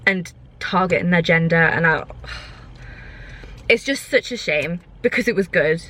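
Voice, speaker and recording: A woman's voice: fairly low pitch, lively intonation, unhurried, sharp tone. A young British woman chatting casually to her vlog viewers in an informal, rambling way. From United Kingdom. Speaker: vlogger.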